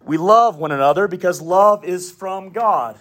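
A man preaching a sermon in a Southern US accent, with emphatic declarations, quiet asides and folksy humor. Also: sits high, unhurried. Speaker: pastor.

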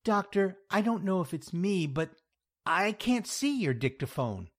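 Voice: mid-range voice, big pitch swings, measured pace, no room sound, studio quality, voice pushed up. A narrator voicing a big, meek woman patient in a comic story, hesitant, polite and easily upset. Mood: timid, doubtful.

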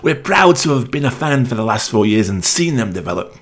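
A man's voice: mid-range voice, very animated, brisk. A man hosting a radio show, introducing music in a presenter's style.

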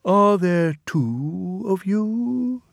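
A man's voice: high voice, expressive, extremely slow, vocal fry, no echo, clean studio sound, pitched above the performer's own voice, heavily acted. A man voicing a curt, put-upon male diesel engine, easily bewildered, in a children's storybook. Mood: giddy, bewildered.

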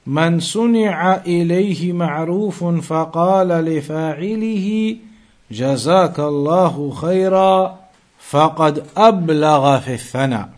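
Higher-pitched man teaching a religious lesson. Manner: deliberate, emphatic repetition and rephrasing. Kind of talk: Islamic lesson, reading Arabic text then translating and explaining.

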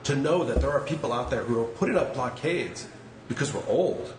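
A nasal, stuffy-sounding man in his forties, blunt and emphatic, swearing freely as he speaks his mind. His voice bounces around an echoey restaurant room with a faint murmur of noise behind him. His pitch is ordinary for a man. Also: animated, fairly quick. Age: 40s. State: has a cold. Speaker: singer of a hardcore band, podcast guest. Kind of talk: casual podcast conversation.

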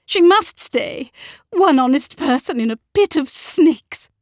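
A woman voicing an overwrought aristocratic wife and mother in an audiobook, emphatic, shrill and trembling with feeling. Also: expressive, takes it slowly, no room sound, studio quality. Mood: snapping, defiant. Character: anguished aristocratic mother, outraged and pleading wife. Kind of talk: audiobook character acting.

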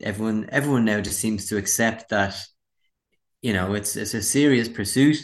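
A man's voice: on the low side, moderate pace. An Irish man speaking as a musician in a casual interview, candid and reflective. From Ireland.

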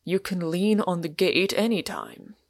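A woman's voice: lowish voice, huge pitch swings, a little slow, dry recording, clean studio sound, heavily acted. A woman voicing a stern middle-aged male doctor, brusque, curt and commanding, in an audiobook. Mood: exasperated. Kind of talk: audiobook character voice.

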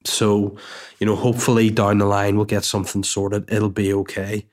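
A man with a somewhat low voice, thick and stuffy from a cold, talks straight to his listeners from home. He rambles through a personal story in a chatty, anecdotal way, with swearing and side remarks. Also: completely flat delivery, normal speed, a little mellow. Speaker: podcast host and comedian. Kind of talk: casual storytelling monologue.

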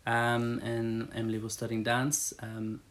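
A man's voice: medium pitch, completely flat delivery, a little slow, a bit bright. A man in his thirties who grew up in Brazil, rambling chattily through interview answers about his church job, with many fillers and restarts. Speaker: church staff member.

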